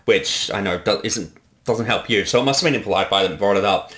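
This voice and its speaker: A man with a fairly low voice gives casual director's commentary. He explains choices reflectively, pausing and picking through his thoughts. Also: a bit bright. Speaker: director giving creator commentary. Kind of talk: casual behind-the-scenes commentary.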